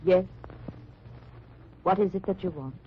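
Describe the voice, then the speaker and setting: A woman's voice: on the low side, unhurried, background noise, natural voice. A woman playing a wary young German wife. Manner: wary, guarded. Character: suspicious young German housewife. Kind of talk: 1940s radio drama acting. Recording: muffled old radio recording.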